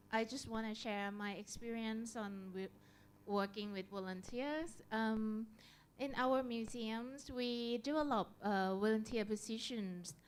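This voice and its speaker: A woman stands up in the audience to share her experience and speaks slowly in a smooth, clear voice. She halts often and fills the gaps with uhs. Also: medium pitch. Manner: hesitant, halting, many uhs. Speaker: audience member, museum worker. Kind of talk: question from the floor.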